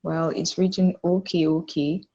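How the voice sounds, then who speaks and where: A woman's voice: on the low side, level tone, a little slow, mellow, muffled recording. A woman in her twenties with a Cameroonian accent, casually answering interview questions as a podcast guest. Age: 20s.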